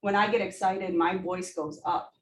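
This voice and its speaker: A woman's voice: lowish voice, fairly even intonation, moderate pace, a little hiss or hum. A woman nursing instructor with a big voice, debriefing a student in an emphatic, coaching way with rhetorical questions. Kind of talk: simulation debrief. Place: simulation room. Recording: echoey room, heard from a distance.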